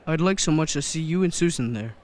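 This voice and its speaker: A man playing a kindly, gentle old Santa Claus figure in a radio play. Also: on the high side, animated, a little fast, natural voice. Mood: warm, flustered.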